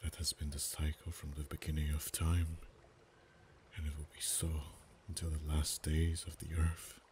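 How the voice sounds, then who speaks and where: A man voicing an ancient, proud, possessive male dragon in an ASMR roleplay, speaking softly and slowly, sometimes in whispers. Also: completely flat delivery, slight background noise. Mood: musing. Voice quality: very deep, soft-spoken. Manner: slow, measured, drops to whispers.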